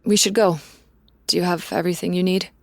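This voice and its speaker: An actress plays a young cat-eared woman in a fan audio drama, reserved and guarded. Her lowish voice has plenty of vocal fry and a very dry, close sound. She stays composed and understated, quietly caring. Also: steady tone, a little fast, dry recording. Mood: closed off.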